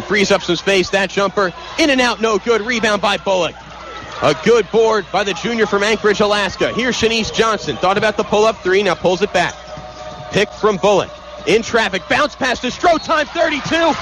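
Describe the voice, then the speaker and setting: A man calling live basketball play-by-play on the radio in vivid, clipped phrases, from an indoor arena. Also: high-pitched. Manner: vivid, clipped, rapid-fire calls. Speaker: play-by-play sportscaster. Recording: loud crowd noise behind, live arena broadcast. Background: loud crowd.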